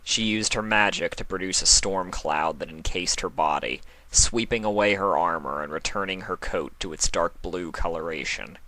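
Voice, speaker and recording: A man reading fan fiction aloud as narrator, in an expressive scripted storytelling style. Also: low voice, normal speed, hardly any pauses, poor audio.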